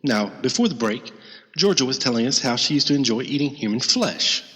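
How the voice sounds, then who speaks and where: A man playing a brisk, flippant doctor who hosts a call-in show in a comedy radio drama, with glib professional patter. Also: mid-range voice, lively intonation, bright, forceful tone, in a large hall. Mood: brisk, admonishing.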